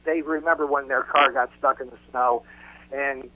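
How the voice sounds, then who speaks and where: A man's voice: medium pitch, steady tone, normal speed, sharp tone, no echo. A man speaking as a musician guest in a casual radio interview, emphatic and often repeating his phrases for weight. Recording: dull, noisy phone-like radio line.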